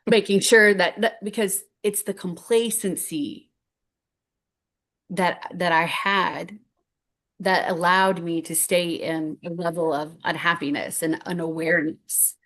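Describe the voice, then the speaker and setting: A woman's voice: lowish voice, steady tone, slow pace, fairly bright tone. Earnest rambling woman in her fifties. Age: 50s. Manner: earnest, rambling with many restarts. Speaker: guest speaker. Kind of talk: heartfelt personal story on stage.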